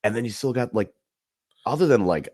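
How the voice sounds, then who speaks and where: A man co-hosting a casual sports podcast, running through player stats with animated asides. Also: medium pitch, fairly quick. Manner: animated, listing stats, swings up when amused. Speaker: podcast co-host.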